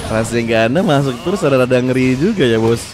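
Young male gaming commentator, stuffy nose, excitable and slangy, mid-pitched, game music loud underneath. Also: brisk. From Indonesia. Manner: excitable, slangy, addresses viewers constantly. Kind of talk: casual gameplay commentary.

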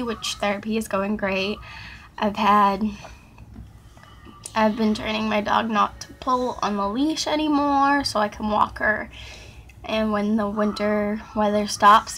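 A teenage girl vlogging at her webcam, hoarse and gravelly from a sore throat, chatting in a rambling, apologetic way full of ums. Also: fairly high pitch, fairly bright tone, slight background noise, low-quality recording. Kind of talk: casual chat to camera. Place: small dark bedroom. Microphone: webcam at arm's length.

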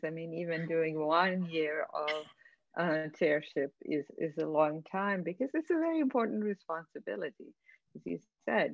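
A woman's voice: sits low, gravelly, no echo, highs cut off. An older woman moderating a video panel, graciously summarizing and affirming the speaker.

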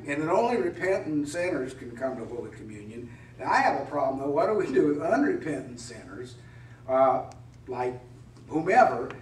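A man's voice: on the high side, a little hiss or hum. Middle-aged guy pastor preaching, chatty and wry, kinda far from the mic, echoey church. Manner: conversational, wry preaching with rhetorical questions. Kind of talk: sermon. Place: church sanctuary. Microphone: mic at a distance on a pulpit stand. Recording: roomy church echo from a distance.

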